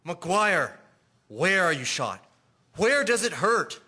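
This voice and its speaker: A man's voice: high voice, sing-song, slow, bright, forceful tone, exaggerated voice. A young man playing a helpful airman clerk in a historical audio drama. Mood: anxious. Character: helpful young airman clerk, anxious after accidental shot.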